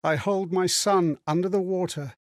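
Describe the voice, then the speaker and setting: A man's voice: high-pitched, fairly even intonation, moderate pace, dry recording, studio quality, natural voice. A man playing an earnest, sorrowful male companion in a game, who relives other people's memories in reflective, theatrical monologues. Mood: desperate, grim. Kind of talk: dramatic game voice-over monologue.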